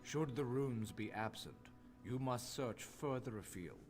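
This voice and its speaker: An actor playing a learned master crafter in a fantasy game, speaking in a formal, measured, instructive manner. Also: male voice, mid-range voice, lively intonation, natural voice. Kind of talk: scripted fantasy game dialogue. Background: game music.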